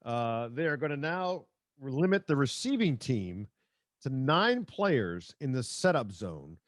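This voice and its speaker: Male sports talk host, animated intonation, incredulous and plain-spoken, casual interjections, dry studio-tight sound. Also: medium pitch, slow pace, dry recording.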